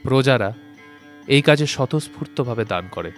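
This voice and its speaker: A man narrates a literary audio story at a middle pitch. His phrasing is measured and scripted, and faint plucked-string music plays behind him. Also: lively intonation. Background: plucked-string instrumental music.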